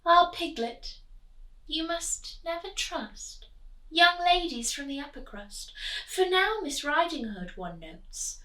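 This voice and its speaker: A woman teacher reads a rhyming story to children with a high, lively, sing-song delivery, taking her time over each line. Her bright voice sits back from the mic in an echoey room. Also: takes it slowly, distant mic. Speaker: teacher reading aloud. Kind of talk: expressive storybook read-aloud for children.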